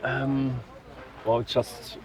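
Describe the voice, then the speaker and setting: A man from Munich, answering in relaxed, thoughtful English and sometimes searching for a word. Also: mid-range voice, drawn-out words, low-quality recording. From Munich, Germany. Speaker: tourist being interviewed. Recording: crowd chatter around.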